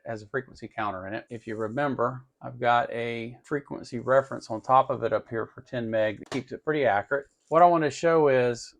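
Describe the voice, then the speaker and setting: A man's voice: medium pitch, a little fast, creaky, hardly any pauses. A man hosting a casual hands-on electronics tutorial, talking informally and thinking aloud as he works. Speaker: host of an electronics tutorial channel.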